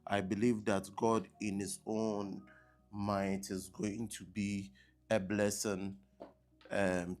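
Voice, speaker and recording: Slow, low-voiced preacher man. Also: darkish tone. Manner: repetitive, checking with online viewers. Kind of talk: live-streamed sermon opening. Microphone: laptop mic on a live stream.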